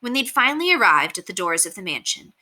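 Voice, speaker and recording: A woman reading a children's novel aloud as expressive narration. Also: medium pitch, fast. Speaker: storybook reader.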